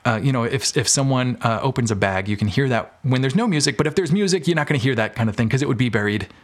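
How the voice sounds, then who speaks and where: A man's voice: mid-range voice, animated, quick pace. A man talking casually as an interview guest, explaining his creative work with examples. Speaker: podcast guest, audio drama maker and filmmaker.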